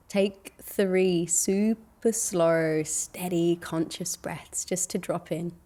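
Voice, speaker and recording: Slow, soft-toned woman guiding meditation. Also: on the low side. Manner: soothing, gentle, unhurried delivery with long pauses. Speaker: meditation guide. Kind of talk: guided meditation.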